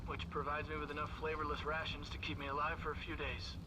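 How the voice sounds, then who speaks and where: An actor playing a trapped scientist recording logs in a video game, wry, resigned and plain-spoken. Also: male voice, fairly high pitch, level tone, fast talker, noisy background, close to an everyday voice. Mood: wry, grim. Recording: loud game music behind.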